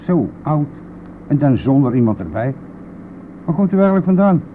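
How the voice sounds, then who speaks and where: Gruff bus driver, thin noisy recording. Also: male voice, fairly high pitch, very animated, normal speed. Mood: gruff, boastful. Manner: brusque, exasperated, boastful. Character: gruff, impatient, talkative male country bus driver. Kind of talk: radio play dialogue. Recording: noisy, thin, phone-like old radio recording.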